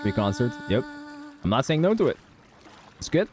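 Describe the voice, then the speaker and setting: A man's voice: mid-range voice, big pitch swings, normal speed, a little mellow, slight background noise. A man streaming a game playthrough, giving casual, laid-back commentary with muttered asides to his viewers. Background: faint game music.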